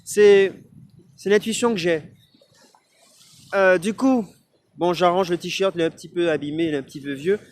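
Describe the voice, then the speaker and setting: A man from Martinique talking about himself in a casual vlog, thoughtful and searching for words, pausing with fillers. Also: high-pitched. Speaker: vlogger. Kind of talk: casual personal vlog monologue. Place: outdoors in strong wind. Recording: faint wind and outdoor noise.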